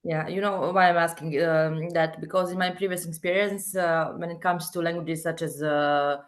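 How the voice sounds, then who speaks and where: A woman's voice: fairly low pitch, steady tone, normal speed. A woman whose first language is Serbian, asking a question in a webinar, hesitant with many fillers. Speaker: webinar participant.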